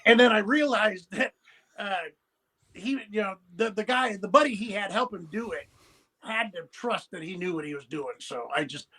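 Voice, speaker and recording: High-voiced rambling man from the Pacific Northwest. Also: animated, pushed and bright, husky, poor audio. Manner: halting, many restarts and fillers, blunt and folksy. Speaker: podcast guest. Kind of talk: rambling conversational storytelling.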